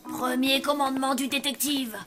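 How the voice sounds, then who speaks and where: An actress playing an earnest, dramatic school-age zombie child in a children's cartoon. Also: female voice, high voice, fairly even intonation, moderate pace, over loud music, natural voice. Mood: self-important. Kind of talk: French cartoon dub acting.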